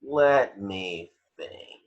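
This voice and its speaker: Man acting husky laid-back veteran pilot. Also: lowish voice, expressive, drawn-out words, lo-fi sound, exaggerated voice. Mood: easygoing, gruff. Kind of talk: amateur online table read.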